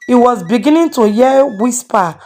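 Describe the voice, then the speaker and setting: High-pitched woman storyteller over music. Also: animated, normal speed, darkish tone. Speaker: storyteller and channel host. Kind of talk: dramatic narration of a moral tale.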